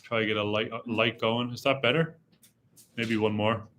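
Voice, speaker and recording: Bright-voiced young man with a slight Irish accent. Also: lowish voice, a little hiss or hum. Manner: relaxed, rambling, reads comments aloud slowly. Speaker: travel vlogger hosting a live Q&A. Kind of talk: casual live-stream chat reading viewer comments. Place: indoors in a dim room.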